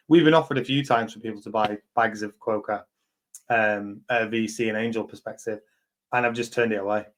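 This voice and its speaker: A man in his thirties, hosting a live community chat as a company head, talking bluntly and rambling with lots of fillers and swearing. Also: mid-range voice. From England. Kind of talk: casual chat.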